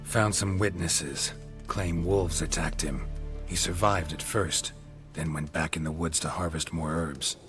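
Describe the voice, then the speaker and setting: A man playing a gruff, laconic monster hunter in a video game, terse and deadpan with a low gravelly voice. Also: measured pace, over music. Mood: dry, businesslike.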